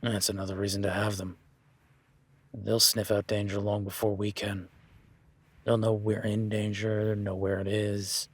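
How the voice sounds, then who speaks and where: A man's voice: fairly low pitch, level tone, unhurried, husky, no echo. A man voicing an easygoing male cowboy ranch hand in an audio roleplay, talking in a laid-back, folksy way with casual swearing.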